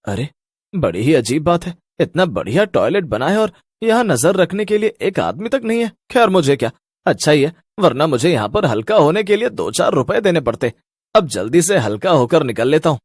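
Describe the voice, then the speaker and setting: A man's voice: on the high side, very animated, normal speed, husky, no echo, clean studio sound. A man voicing a silly, film-loving ordinary fellow with theatrical exclamations in a Hindi cartoon story. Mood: carefree, delighted. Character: carefree, silly film-loving man who turns frightened.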